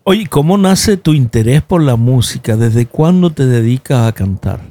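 A man's voice: mid-range voice, animated, normal speed, warm tone. A man hosting a radio interview in the studio, asking questions that open with "oye" and close with a tag "¿eh?". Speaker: radio host.

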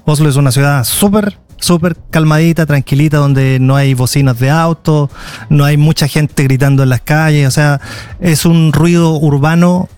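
A man's voice: fairly high pitch, steady tone, normal speed, darkish tone, clean tone. A man with a Chilean accent answering interview questions in a conversational, explanatory way, often repeating words as he goes. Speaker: interview guest, tour guide and video creator.

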